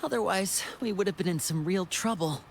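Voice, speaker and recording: A woman's voice: on the low side, a little fast, a little hiss or hum, lightly acted. An actress voicing a gracious, thoughtful young woman adventurer in a video game.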